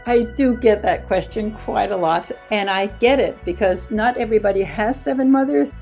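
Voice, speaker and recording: A woman in her fifties from Denmark with a Danish accent, a historian introducing her book with measured enthusiasm. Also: medium pitch, gravelly, darkish tone, faint music behind. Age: 50s. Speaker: author and historian.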